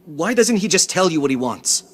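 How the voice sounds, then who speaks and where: A man voicing a frightened, exasperated hostage in a video game, with a husky voice. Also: fairly high pitch, very animated, normal speed. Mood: scared, exasperated.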